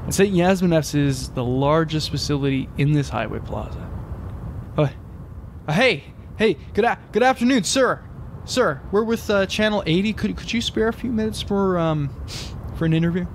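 A man's voice: high-pitched, animated, moderate pace, a little hiss or hum. A man playing an earnest, easily flustered field journalist in a comedic audio drama. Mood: earnest reporting. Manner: stammering when addressing people. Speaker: reporter. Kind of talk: comedic audio drama, mock news report.

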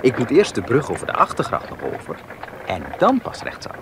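An actor playing an earnest, modest, level-headed son in a 1970s radio drama. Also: male voice, medium pitch, wildly swooping pitch, brisk, background noise. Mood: matter-of-fact.

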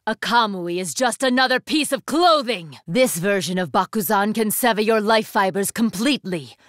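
A woman's voice: medium pitch, animated, normal speed, no room sound, studio quality, lightly acted. Woman acting an imperious, fierce young warrior woman. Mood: fierce, commanding. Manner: commanding, haughty, formal. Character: imperious young female warrior student leader, proud, fierce. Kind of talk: anime fighting game acting.

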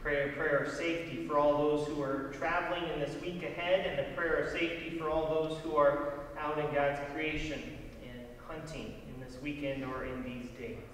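Pastor's solemn voice echoing in church. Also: male voice, on the high side, steady tone, fairly bright tone. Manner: measured, solemn responsive cadence. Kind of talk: leading liturgical prayers. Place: large church sanctuary. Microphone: distant camera and room mic. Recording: echoey church hall from far off, hiss.

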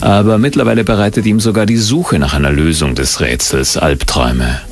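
A man's voice: low-pitched, fairly even intonation, a little fast, faint music behind. A man reading scripted narration for a documentary.

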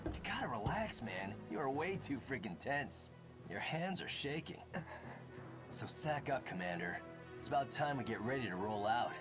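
A man's voice: medium pitch, sing-song, moderate pace, close to an everyday voice. An actor playing a brash, crude, teasing young male soldier in a game. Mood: cocky. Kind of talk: video game voice acting. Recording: heavy background music.

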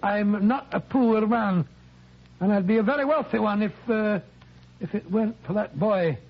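A stammering doctor in a fake Scottish brogue. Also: male voice, high voice, normal speed. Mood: conspiratorial. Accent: put-on Scottish fading. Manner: hesitant, stammering. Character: Doctor Watson disguised as a Scotsman. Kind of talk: 1940s radio acting. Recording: dull old radio broadcast.

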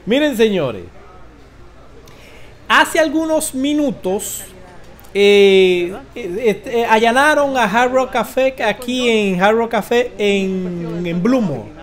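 A man in his forties with a Dominican accent gives informal news commentary as a host, halting and emphatic, with dramatic pauses. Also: sits high, lively intonation. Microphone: close desk microphone.

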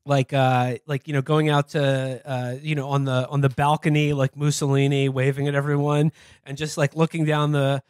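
A man co-hosting a podcast in casual comedic political chat, halting often with "uh" and "you know". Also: medium pitch, flat delivery, darkish tone, smooth, no echo, clean studio sound. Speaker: podcast co-host.

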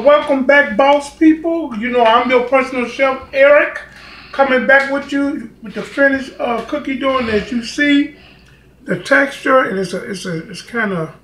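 A man's voice: very high voice, unhurried, fairly bright tone. A man in his fifties hosting a casual home cooking tutorial, chatting and rambling with lots of fillers as he films himself in his kitchen. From Chicago, United States. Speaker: home cooking channel host. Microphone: camera mic, filming himself. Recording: echoey kitchen with faint noise.

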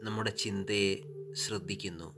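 A man with a Malayali accent guiding sleep hypnosis meditation, soothing and unhurried, pausing between short phrases over relaxing music. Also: fairly low pitch, steady tone. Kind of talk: guided sleep hypnosis narration. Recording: relaxing music with singing bowls behind.

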